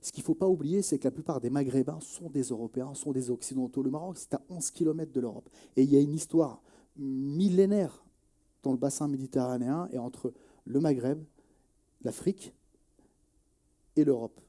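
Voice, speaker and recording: Male, around 40, very dark soft-edged tone, average-low register; earnest interviewee who gropes for words. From Nièvre, France. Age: about 40. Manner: halting, searching for words, repeating phrases. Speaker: journalist and activist interviewee. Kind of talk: earnest spoken testimony in an interview.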